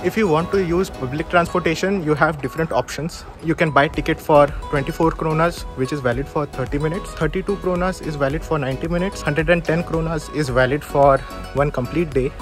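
A man's voice: on the high side, a little fast. A man, giving practical information in a travel vlog. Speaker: travel vlogger. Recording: music bed under the voice.

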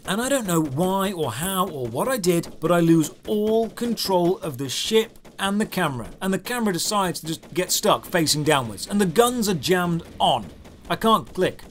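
A man's voice: high-pitched, a little hiss or hum. A man narrating a scripted video game review in a sarcastic, theatrically exasperated manner.

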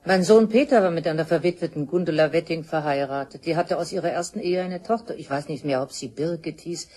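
Husky, mellow, reserved older woman. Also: sits low, brisk. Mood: guarded, curt. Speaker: actor in a crime radio play.

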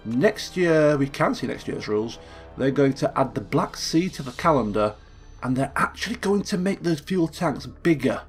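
A man hosting a casual gameplay commentary, thinking aloud and correcting himself as he goes, his voice a little croaky from just waking up. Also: mid-range voice. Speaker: let's play host.

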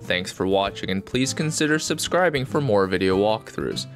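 A man's voice: on the low side, lively intonation, normal speed. A man delivering scripted promotional narration as a sales presenter. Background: guitar music.

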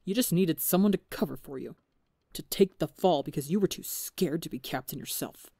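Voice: mid-range voice, expressive, fairly quick, mellow, dry recording, studio quality, close to an everyday voice. A storybook reader voicing a bitter, resentful young male pirate crewman who lashes out with accusations in a raised voice. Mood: bitter accusing.

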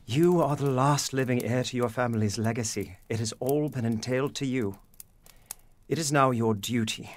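An actor playing an anguished, guilt-ridden, stern father in a period audio drama, speaking gravely and deliberately. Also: mid-range voice, natural voice. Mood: stern, insistent.

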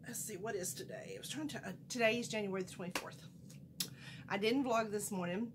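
A middle-aged woman, chatting through a home haul vlog, folksy and animated, a little nasal from a cold, filmed in a small room. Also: mid-range voice, a little fast, background noise. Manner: folksy, animated, chatty, wanders off mid-sentence. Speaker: haul vlogger.

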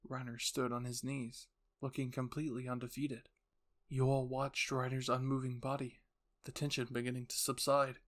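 A man narrates a dramatic scripted tale. His voice sits at a medium male pitch, and he delivers it as a storyteller. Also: little pitch movement, moderate pace. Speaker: narrator and voice actor.

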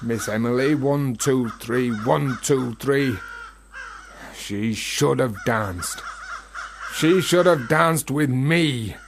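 A bitter, philosophical gravedigger in a radio drama. He talks slowly in a brooding, chanting, rhetorical manner. There is clear noise and crows cawing behind him. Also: mid-range voice. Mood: obsessive, brooding. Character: bitter, obsessive philosophical gravedigger, a working man. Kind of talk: radio play monologue acting.

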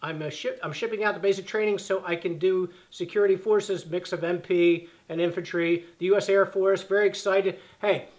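High-voiced man in his fifties, halting. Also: fairly even intonation. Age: 50s. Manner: halting, reads questions aloud, many fillers and restarts. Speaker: channel host answering fan questions. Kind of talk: casual chat. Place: living room by a fireplace, sitting on the floor.

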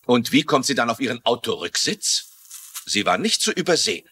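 An actor playing a brisk, officious and self-important police lieutenant in a dubbed TV mystery. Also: male voice, medium pitch, big pitch swings, bright, forceful tone, breathy edge, exaggerated voice. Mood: interrogating. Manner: officious, insistent.

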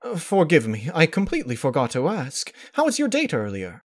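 A man's voice: on the high side, wildly swooping pitch, a little fast, voice pushed up, heavily acted. A man voicing a refined female cartoon unicorn dressmaker, prim, polite and dramatic in manner. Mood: gentle, chatty.

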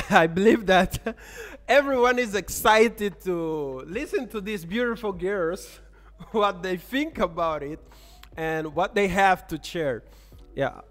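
A man's voice: high voice, expressive, slow, a bit bright, long reverb tail. A young man hosting a casual podcast in a café, laughing often as he talks into a studio mic. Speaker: podcast host. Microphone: studio mic on boom arm.

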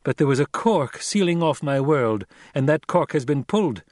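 A man's voice: on the high side, lively intonation, moderate pace, a little mellow, no echo, studio quality, pitched above the performer's own voice, natural voice. A man reading as an earnest young desert rider. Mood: determined. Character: young male heir turned desert rider, proud and earnest. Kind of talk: audiobook character reading.

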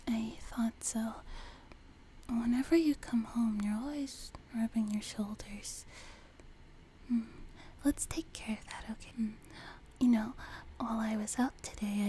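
A woman voicing a sweet, teasing, doting young demon girl in a soft, breathy whispered ASMR roleplay, close to a binaural mic. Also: on the high side, slight background noise, natural voice. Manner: gentle, slow, teasing, intimate. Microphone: close binaural microphone.

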